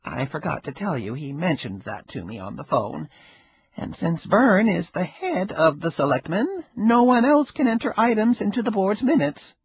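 A man voicing a frail, stubborn elderly grandmother with a sharp tongue, in a husky raised voice. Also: high-pitched, very animated, moderate pace, no room sound, voice pushed up, exaggerated voice. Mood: tart. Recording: dull lo-fi recording.

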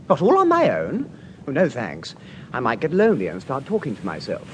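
An actor playing a cheeky, dithering silly-ass English naval officer who stammers through a classic radio comedy. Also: male voice, medium pitch, wildly swooping pitch, brisk. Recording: very noisy vintage radio recording.

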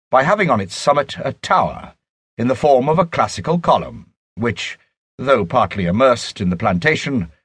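A man narrates a classic novel in a husky voice. His pitch moves widely through each sentence, and the recording is close and dry. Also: fairly bright tone, dry recording. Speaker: audiobook narrator. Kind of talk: scripted literary narration.

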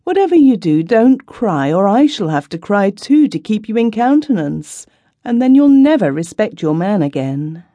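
An affectionate young husband, soothing and gently teasing, as a woman narrator reads his lines. The voice is mellow and dry, with a lively rise and fall. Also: medium pitch, moderate pace, dry recording, muffled recording, pitched above the performer's own voice. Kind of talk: storybook reading.

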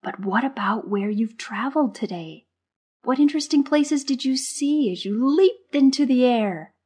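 A woman's voice: medium pitch, lively intonation, a little slow, darkish tone, slightly rough. A woman voicing a cheerful, curious young male caterpillar in a children's story, with an eager, bubbly manner.

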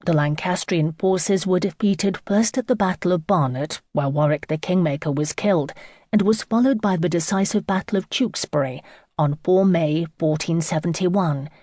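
A woman reading a scripted history audiobook in a measured, formal storytelling manner. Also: lowish voice, dark tone, no echo, studio quality. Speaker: audiobook narrator.

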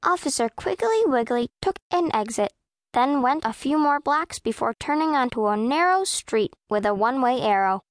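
Children's audiobook narrator: proud, composed girl of eleven, high voice, precise delivery, dry studio sound. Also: expressive, moderate pace, dry recording. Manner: precise, composed, matter-of-fact. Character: self-possessed, proud, scowling eleven-year-old girl narrator. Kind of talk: first-person audiobook narration of children's fiction.

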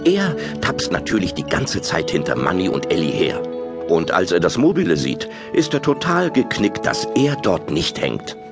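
A man's voice: deep voice, huge pitch swings, normal speed, gravelly, over music. A man narrating a children's audio play in a theatrical, exclamatory storytelling style.

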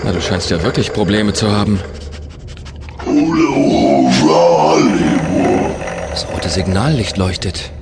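A man playing a thoughtful, kindly space captain in a scripted sci-fi audio drama, measured and reflective. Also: low voice, very noisy, lightly acted. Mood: concerned. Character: male space traveller, thoughtful, kindly captain of a small ship. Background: music.

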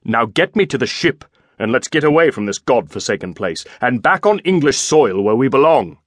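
A man voicing a gruff, wounded English lord, exasperated, sarcastic and commanding, in a historical audiobook. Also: medium pitch, big pitch swings, moderate pace, no echo, studio quality. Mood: curt, commanding.

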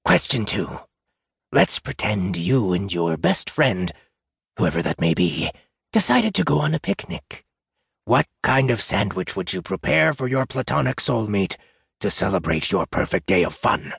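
A man voicing a pompous, needy, menacing jackal trickster in an audiobook, theatrical and drawn-out, with a raspy, gravelly voice. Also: fairly low pitch, sing-song, fairly bright tone, no room sound, clean studio sound, exaggerated voice. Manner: theatrical, drawn-out grandiose threats, sudden petulant outbursts. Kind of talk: audiobook character voice.

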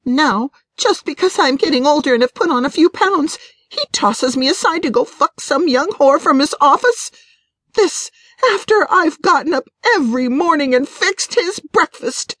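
Woman acting a high-voiced, distraught betrayed wife. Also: lively intonation, normal speed, dry recording. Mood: bitter, indignant. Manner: tearful, wailing, indignant. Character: distraught betrayed middle-aged wife, wailing and bitter.

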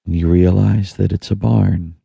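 A man narrating a guided meditation in a soothing, hushed manner with long pauses. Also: low voice, steady tone, gravelly, breathy edge, dry recording, highs cut off. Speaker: audiobook narrator.

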